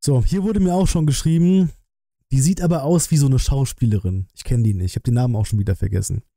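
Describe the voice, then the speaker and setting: A man's voice: mid-range voice, quick pace, creaky, mellow, no room sound. A man, giving casual live-stream commentary in a colloquial, musing way, close on the mic. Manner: musing, colloquial, thinking aloud. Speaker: streamer reacting to a game trailer.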